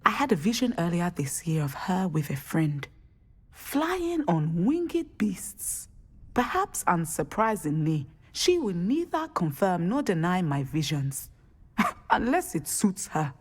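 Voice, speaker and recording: An actress playing a wise, dignified Ugandan divination professor, formal and deliberate with dry amusement, in game dialogue. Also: female voice, on the low side, big pitch swings, slightly rough, heavily acted. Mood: dignified, gently amused.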